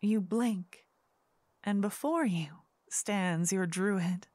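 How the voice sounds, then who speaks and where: A woman narrating a scripted second-person fantasy story in ASMR style, hushed, measured and suspenseful. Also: medium pitch, slight background noise.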